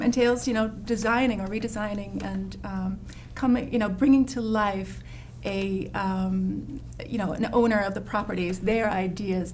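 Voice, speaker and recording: A woman's voice: mid-range voice, slight background noise. A woman from the Netherlands, a landscape designer, talking in a casual interview with lots of fillers and restarts. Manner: warm, enthusiastic, many fillers and restarts.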